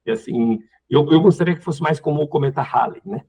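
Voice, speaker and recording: A man's voice: on the high side, brisk, warm tone, breathy edge. A Brazilian man giving unscripted political commentary as a panel guest, often repeating words as he searches for phrasing. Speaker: panel guest, community representative. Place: online live call.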